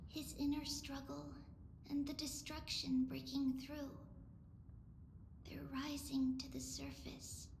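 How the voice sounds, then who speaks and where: A woman voicing a small, solemn, dutiful fairy girl in a video game, measured and earnest. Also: high-pitched, flat delivery, gravelly, a little hiss or hum, heavily acted. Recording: echoey with music behind.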